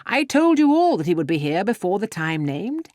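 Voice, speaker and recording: Audiobook role: jovial middle-aged gentleman, played by a woman, proud father, hearty and emphatic, dry close sound. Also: sits high, very animated, normal speed, no echo, voice pushed up. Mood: exultant. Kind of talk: audiobook character dialogue.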